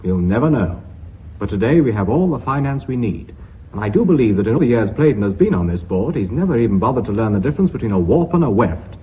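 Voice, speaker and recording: An actor playing an authoritative, sardonic company director in a British radio drama, clipped and impatient, with an English accent. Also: male voice, mid-range voice, very animated, fast, lightly acted. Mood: cool disdain. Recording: muffled, faintly noisy old radio broadcast.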